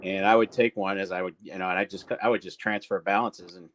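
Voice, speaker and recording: A man's voice: low voice, fairly even intonation, quick pace, fairly bright tone, a little hiss or hum. Candid man chatting on a livestream. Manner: candid, rambling, greets viewers by name. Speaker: livestream co-host. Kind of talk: casual livestream chat.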